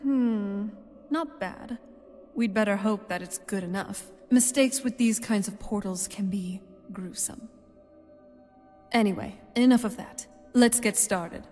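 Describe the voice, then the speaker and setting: A woman playing a young-looking, knowing vampire in a fantasy video game, with a dry, measured way of explaining. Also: medium pitch, a little mellow, lightly acted. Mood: brisk, wary. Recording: long hall reverb, music underneath. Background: game music.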